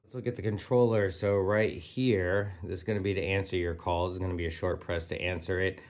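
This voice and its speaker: A man's voice: low-pitched, fairly even intonation, fairly quick, a little mellow. A man giving a casual hands-on gadget review as host, speaking enthusiastically in informal slang with punchy interjections.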